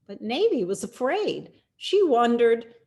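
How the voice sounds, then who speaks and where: A woman reading a children's picture book aloud in an expressive, deliberate storytelling manner with dramatic pauses. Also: on the high side. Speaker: storyteller, rabbi.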